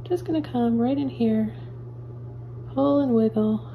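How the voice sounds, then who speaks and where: A woman calmly talking viewers through painting step by step, as a painting teacher in her studio. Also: a little high, measured pace, phone-quality sound, a little hiss or hum. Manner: calm, gentle step-by-step instructions. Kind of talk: real-time painting tutorial. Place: indoor studio at an easel.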